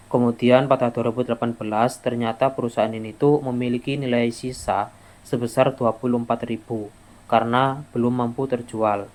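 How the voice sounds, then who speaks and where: A young man giving an explanatory class presentation in an informal, halting way, often repeating words. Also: mid-range voice, a little mellow, low-quality recording. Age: young adult. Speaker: student presenter.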